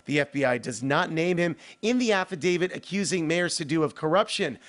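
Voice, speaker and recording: A man reporting a political story for local TV news from the studio, in a measured newscaster delivery. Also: a little high, lively intonation, fairly bright tone.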